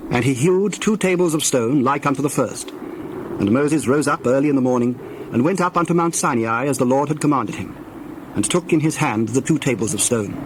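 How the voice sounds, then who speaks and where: A male narrator reads scripture as a scripted drama, solemn and measured. His voice has a middling pitch, and there is clear background noise. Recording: noisy background ambience.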